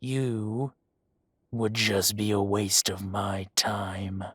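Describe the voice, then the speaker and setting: Guy doing a condescending dragon mafia boss, soft spoken, super slow and drawn out, bone dry close mic. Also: on the low side, fairly even intonation, slightly rough, no echo, exaggerated voice. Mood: silky menace. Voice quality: soft-spoken, silky and condescending, drops to whispers. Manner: slow, deliberate threats with long pauses. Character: menacing dragon gang boss, adult male. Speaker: audio roleplay performer. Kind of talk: audio roleplay drama.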